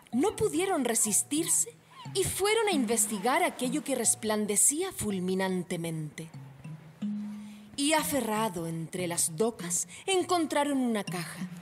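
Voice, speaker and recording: A woman narrating a scripted radio story in a dramatic, suspenseful storyteller's manner. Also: on the high side. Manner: theatrical, suspenseful. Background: faint music.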